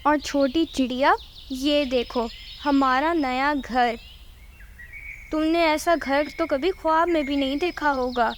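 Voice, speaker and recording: Lady doing a snooty cartoon crow wife, high voice, mocking and braggy, bit of background noise. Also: fairly even intonation, takes it slowly. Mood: gloating, mocking. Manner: boastful, mocking. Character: haughty, spiteful female crow wife, boastful schemer. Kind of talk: Hindi cartoon voice acting.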